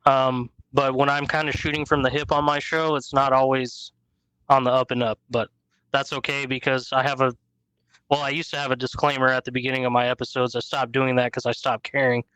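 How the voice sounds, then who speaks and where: Smooth bright-voiced man in his thirties. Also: mid-range voice, little pitch movement, brisk, low-quality recording. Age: 30s. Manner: easygoing, chatty, storytelling. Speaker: guest podcast host. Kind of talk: casual podcast chat.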